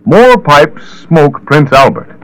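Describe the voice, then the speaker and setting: Casting: American male pitchman, fairly high, unhurried, folksy and persuasive, vintage radio commercial. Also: big pitch swings, dry recording, rough recording. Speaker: commercial announcer. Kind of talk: 1940s radio advertisement. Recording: vintage 1940s radio broadcast, dry.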